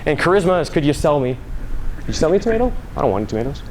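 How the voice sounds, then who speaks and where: Young man with a highish voice, noisy recording. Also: very animated, rapid-fire, a little mellow. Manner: conversational, playful, storytelling. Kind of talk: informal public speech to an audience. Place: live talk before an audience at a school. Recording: noisy live recording, background ambience.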